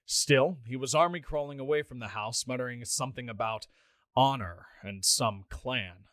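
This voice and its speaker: A man's voice: medium pitch, normal speed, a bit bright, no room sound, close to an everyday voice. A man playing an exasperated middle-aged police officer reading out an official report in a radio play. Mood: grim, bewildered. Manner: official, dry, flustered asides. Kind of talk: scripted radio play monologue, formal report.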